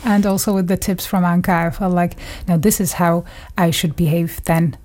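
A woman's voice: lowish voice, steady tone, normal speed, a little mellow. Woman reminiscing in a radio studio. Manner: thoughtful, laughs between phrases. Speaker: radio journalist. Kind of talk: conversational reminiscence.

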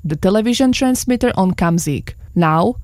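A woman's voice: mid-range voice, no echo, clean studio sound. Woman reading a radio program. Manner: measured, even delivery. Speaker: radio presenter. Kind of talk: scripted broadcast narration. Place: radio studio.

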